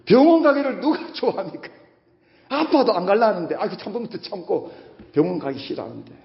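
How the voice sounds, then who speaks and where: A man with a husky voice preaching a Sunday sermon as a pastor, speaking emphatically, repeating phrases and putting rhetorical questions to his congregation. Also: high-pitched. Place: large reverberant church hall. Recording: muffled, echoey hall with long reverb.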